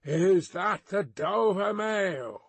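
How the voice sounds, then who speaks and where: Man acting a hoarse, slow-calling horseback messenger. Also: fairly high pitch, lively intonation, no echo, voice pushed up, heavily acted. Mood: blunt, insistent. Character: hoarse horseback messenger shouting through mist, blunt and dogged.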